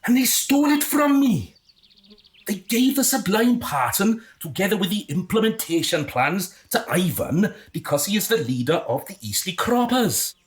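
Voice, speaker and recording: An actor as a pompous, swooping alien in human guise. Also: mid-range voice. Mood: indignant outrage. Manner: pompous, exasperated, condescending. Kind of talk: comic radio drama acting.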